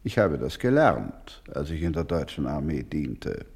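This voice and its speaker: Low-voiced, solemn older doctor. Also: male voice, very animated, darkish tone, breathy edge, full character voice. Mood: calm dignity. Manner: solemn, deliberate, formal, with emphatic repetition. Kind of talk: German radio play acting.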